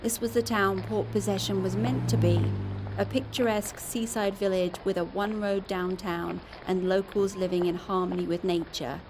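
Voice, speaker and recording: A woman's voice: mid-range voice, steady tone, normal speed, noisy background, natural voice. A woman playing a curious, candid podcast reporter in an audio drama, conversational and dryly observant. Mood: reflective. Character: curious, candid female podcast reporter narrating her investigation. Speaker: reporter and narrator. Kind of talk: scripted first-person podcast narration.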